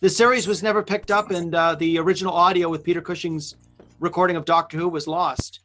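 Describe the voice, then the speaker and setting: A man hosting a live event, chatting casually with halting, hesitant phrasing. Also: a little high, steady tone, a bit bright, faint music behind. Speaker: host of a live script-reading event. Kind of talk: casual group chat.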